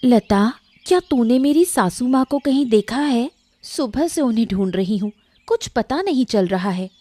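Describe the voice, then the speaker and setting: A woman's voice: a little high, animated, unhurried, mellow, no echo. A woman voicing an anxious young daughter-in-law in a cartoon story, speaking in a pleading tone. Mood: worried.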